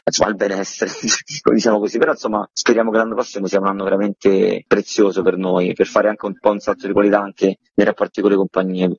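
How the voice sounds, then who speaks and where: A man's voice: deep voice, creaky, breathy edge, no echo. A man speaking earnestly as a guest in an informal radio interview. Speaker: interview guest, theatre co-artistic director. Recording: muffled, dull lo-fi line.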